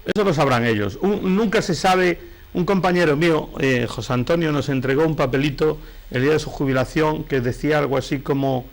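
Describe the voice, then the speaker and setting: A man's voice: on the high side, fairly quick. Middle-aged Spanish man telling rambling anecdotes. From Galicia, Spain. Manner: digressive, self-correcting. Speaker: interview guest, painter and novelist. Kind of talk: conversational interview, anecdotal storytelling.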